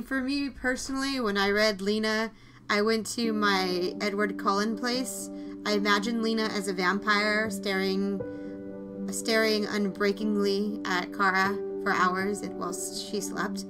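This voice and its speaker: A woman hosting a podcast in casual, chatty style, with an excitable, effusive manner. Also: medium pitch. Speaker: podcast host. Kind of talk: casual podcast chat with announcements.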